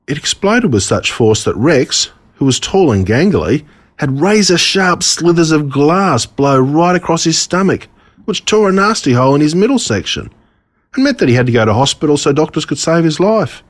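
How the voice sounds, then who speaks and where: An Australian man reading a scripted children's storybook in a dramatic, deliberate way, relishing the gruesome parts. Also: fairly high pitch, big pitch swings. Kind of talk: scripted storybook narration told as a boy.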